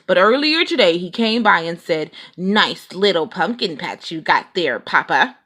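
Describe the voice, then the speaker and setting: A woman's voice: on the low side, very animated, a little slow, a bit bright, full character voice. Woman putting on a grumpy, blustery papa bear. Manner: grumbling, blustery, emphatic. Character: grumpy, boastful, competitive father bear.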